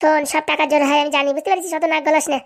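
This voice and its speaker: Casting: woman to play domineering cartoon wife, high creaky voice, relentless scolding. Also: steady tone. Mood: scolding, contemptuous. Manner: scolding, accusatory, rapid-fire haranguing. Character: bossy, nagging, domineering wife of a village politician. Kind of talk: comic cartoon voice acting.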